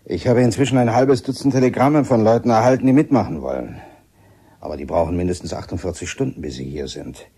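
A courteous, brisk expedition leader from a radio play. The voice is low and very raspy, and it moves up and down in a lively way. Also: male voice. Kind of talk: radio drama acting.

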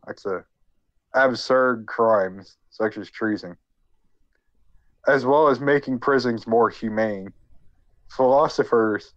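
Man, sick with a cold, halting informal explainer, jokey, close dry sound, a bit muffled. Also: on the low side, dry recording. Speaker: podcast co-host. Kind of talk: informal explainer talk on history.

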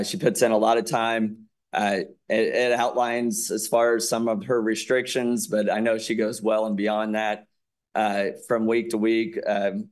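A man reporting on an athletics contract at a school board meeting, speaking haltingly with many fillers. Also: fairly low pitch.

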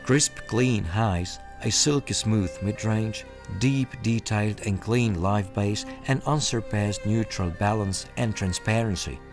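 A man reading scripted promotional documentary narration in a polished, measured manner. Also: fairly low pitch. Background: music.

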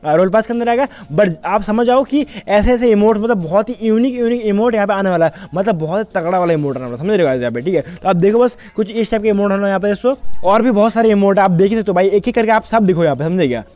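A man's voice: sits high, animated, fast talker, few pauses, darkish tone. A young man giving casual, chatty game update commentary as a YouTuber, often repeating the same verbal tags. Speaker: gaming YouTuber.

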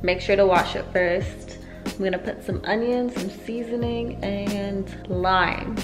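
A young woman talking her viewers through a recipe in a home kitchen, loose and slangy, full of y'all. She speaks to a camera set on the counter. Her pitch sits in the middle range. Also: steady tone, slow, over loud music. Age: young adult. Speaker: cooking vlogger. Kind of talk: casual cooking tutorial. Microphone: camera on the counter and handheld.

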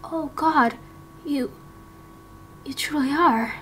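A woman's voice: high-pitched, slow, fairly bright tone, slight background noise. A woman voicing a flustered, polite, apologetic village mother in an amateur game roleplay. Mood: awestruck.

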